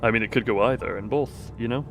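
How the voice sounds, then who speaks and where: A man's voice: mid-range voice, fast talker, a little hiss or hum. A man bantering casually as a stream co-host, joking and feeling his way through explanations. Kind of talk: casual livestream chat.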